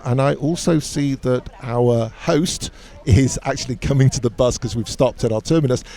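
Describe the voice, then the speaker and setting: Gravelly male travel podcast host. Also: mid-range voice, moderate pace, mellow, in a large hall.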